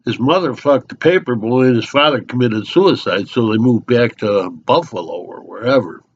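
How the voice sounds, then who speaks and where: A man's voice: mid-range voice, animated, normal speed, muffled recording, lightly acted. A man playing a talkative, oversharing small-town local at a bar in a rambling, eager dramatic monologue. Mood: nostalgic, rambling.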